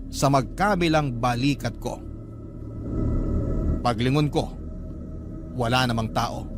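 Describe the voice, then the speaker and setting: A man's voice: mid-range voice, natural voice. A Filipino man playing a young narrator who earnestly recounts his ghostly encounters in a dramatic radio drama.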